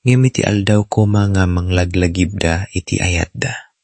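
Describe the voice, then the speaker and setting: A male host narrates a scripted drama with a Filipino accent. His voice is deep, and the recording is close and dry. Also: measured pace, a little mellow, no echo.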